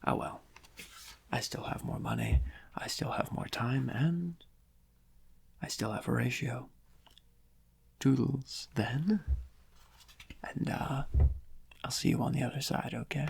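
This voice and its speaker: A man acts out a smug criminal mastermind in an intimate ASMR roleplay, soft-spoken and very breathy. His delivery is slow and heavily fried with creak, lingering pompously over florid, mock-polite phrases. He sounds theatrical and supremely sure of himself. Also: medium pitch, exaggerated voice. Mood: smug farewell. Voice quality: breathy, husky, often near whispering. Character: gloating, theatrical criminal mastermind villain, supremely confident yet cautious.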